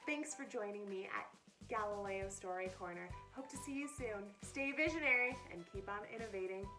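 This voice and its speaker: With music playing behind her, a woman reads to children in storybook style. Her delivery is expressive and playful, and she speaks to her young listeners directly. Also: mid-range voice, moderate pace. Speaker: camp director reading a picture book to children. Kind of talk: children's storybook read-aloud. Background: background music.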